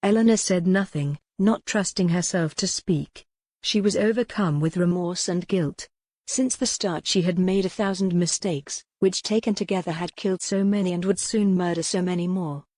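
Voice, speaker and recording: Lowish-voiced woman narrating historical romance. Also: moderate pace, a little mellow, dry recording. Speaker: audiobook narrator.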